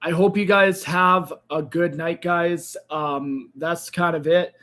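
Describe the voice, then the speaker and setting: A man hosting a crypto trading livestream, speaking casually to viewers, hoarse and tired as his voice gives out. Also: fairly even intonation, takes it slowly, fairly bright tone. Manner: tired, low-energy sign-off with frequent fillers.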